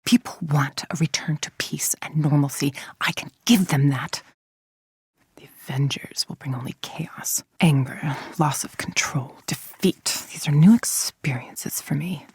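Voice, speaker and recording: A woman playing a cold, calculating villain scientist-executive in a video game, speaking in a measured, imperious, menacing way with a husky, creaky voice. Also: low voice, darkish tone, no echo, studio quality, exaggerated voice. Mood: quietly menacing, then grudging, tense. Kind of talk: scripted dramatic video game monologue.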